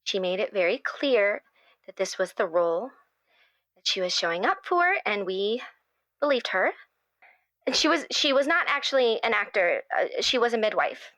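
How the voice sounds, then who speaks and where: A woman playing an earnest, gushing playwright in an improvised audio drama, speaking in a halting, effusive way as if anxious to please. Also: medium pitch, very animated, a bit bright, no room sound. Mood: nervous, hesitant. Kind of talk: improvised actual-play interview acting.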